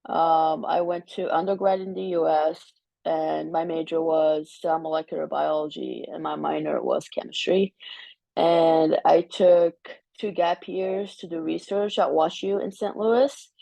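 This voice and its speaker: A young woman from Morocco, a medical student, introducing herself calmly on a video call. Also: low voice, unhurried, creaky, no echo, rough recording. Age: young adult. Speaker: guest speaker, medical student.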